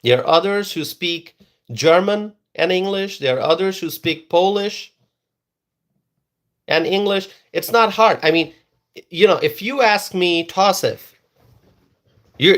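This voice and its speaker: A man's voice: sits high, fairly bright tone. A man whose first language is Bengali, giving an emphatic political opinion monologue and repeating words for stress. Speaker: political commentator.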